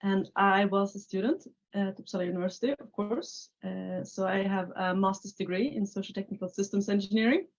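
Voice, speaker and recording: A woman's voice: mid-range voice, steady tone, a little fast, few pauses. A Swedish woman in her thirties with a Swedish accent, talking casually as an interview guest over a video call, with many filler sounds and restarts. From Sweden. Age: 30s. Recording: slightly muffled video call audio.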